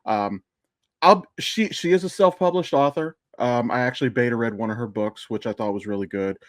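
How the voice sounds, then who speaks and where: A man's voice: medium pitch, a little fast, dry recording. Rambling man in casual podcast chat, dry recording. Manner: rambling, digressive storyteller. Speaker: podcast co-host and amateur voice actor.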